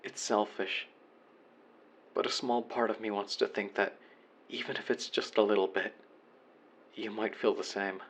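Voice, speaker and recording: A man's voice: fairly low pitch, fairly even intonation, measured pace, long pauses, a bit bright. A man playing a remorseful young man leaving an apologetic voicemail to his former best friend, earnest and confessional in an audio roleplay monologue. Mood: tender, resigned.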